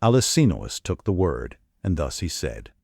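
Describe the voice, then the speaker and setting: A man's voice: extremely low pitch, big pitch swings, a little slow, creaky, darkish tone, dry recording, clean studio sound. A man giving formal, dramatic narration of an epic audiobook. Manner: formal storytelling.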